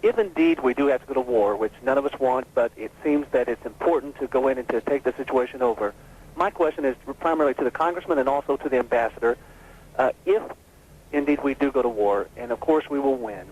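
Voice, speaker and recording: Man, American accent, radio caller, earnest, deliberate, medium pitch, faintly noisy phone call. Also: a little mellow. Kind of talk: phone-in question.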